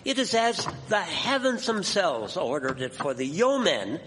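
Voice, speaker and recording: An animated, fairly high-voiced 1870s gentleman acted by a man holds forth in formal, old-fashioned phrases. It is a period radio drama with a long echoey reverb. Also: measured pace, full character voice. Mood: proud, hopeful. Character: genteel, opinionated 1870s island townsman named James. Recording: echoey hall, clear background noise.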